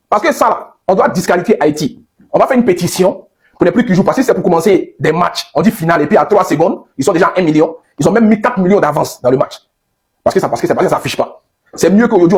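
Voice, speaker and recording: A man in an animated casual rant as a social media commentator, sounding indignant and mock-outraged. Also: high voice.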